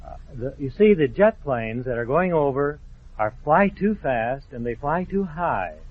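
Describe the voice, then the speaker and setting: A man speaking as an editor guest in a radio interview, explaining in halting, deliberate phrases. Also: on the high side, expressive. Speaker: magazine editor, studio guest. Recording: tinny, band-limited vintage radio recording.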